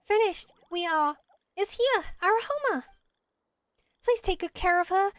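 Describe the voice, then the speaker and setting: A woman voicing a small, gentle, childlike forest spirit in a video game, solemn and earnest, with quaint, ceremonious phrasing. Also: extremely high pitch, lively intonation, unhurried, no room sound. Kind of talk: amateur voice acting of video game dialogue.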